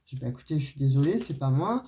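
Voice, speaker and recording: Mellow-voiced woman doing a chatty card reading. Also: very deep voice, expressive, racing, slight background noise. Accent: non-Parisian French regional accent. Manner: chatty, full of "euh" and "hein" fillers, addresses viewers directly. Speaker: tarot and oracle card reader. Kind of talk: casual spoken card reading to viewers.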